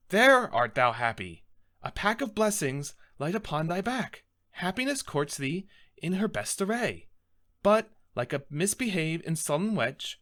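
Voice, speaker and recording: A man's voice: high-pitched, fairly bright tone, exaggerated voice. A man performing a Shakespearean monologue as a stern, exasperated friar, scolding in a declamatory, emphatic way. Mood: urgent exhorting.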